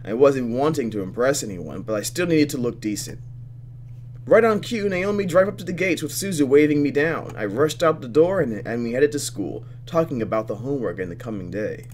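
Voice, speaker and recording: Man, gaming commentator, very animated, expressive read-aloud, sarcastic asides, faint noise behind. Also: mid-range voice, a little fast, hardly any pauses. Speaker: let's player, channel host. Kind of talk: gameplay commentary, reading visual novel text aloud.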